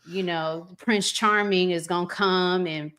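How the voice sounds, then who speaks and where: Female podcast host, lowish pitch, unhurried pace, reflective, conversational, pauses mid-thought. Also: steady tone, a bit bright, clean tone. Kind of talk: casual relationship podcast conversation.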